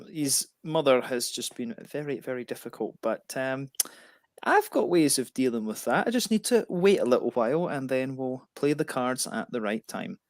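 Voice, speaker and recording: A man's voice: medium pitch, a bit bright, no room sound. A man co-hosting a casual beer livestream, joking around, laughing often and reading out viewer comments, sounding tired. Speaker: co-host of a beer review livestream.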